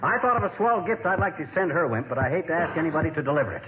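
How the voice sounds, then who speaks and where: Blustering American husband, folksy wisecracker, high-pitched, very animated, 1940s radio comedy, thin old recording. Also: male voice, very fast, echoey room, phone-quality sound, background noise. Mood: wisecracking. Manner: folksy, slangy, exclamatory.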